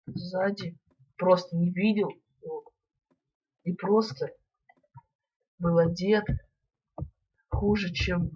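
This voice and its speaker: A young man casually commenting on a game he plays, slightly ill and clearing his throat, mostly muttering to himself. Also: sits high. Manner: mutters to himself while playing, then talks excitedly to viewers. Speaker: gaming channel host. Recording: dull lo-fi mic.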